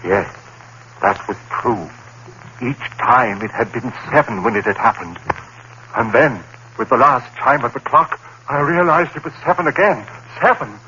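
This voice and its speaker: An actor playing a frightened, reason-bound professor in a 1940s-style radio play, narrating in a dramatic, brooding way. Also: male voice, medium pitch, a little slow, pushed and bright. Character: haunted male professor, rational and frightened. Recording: dull old radio broadcast with faint hiss.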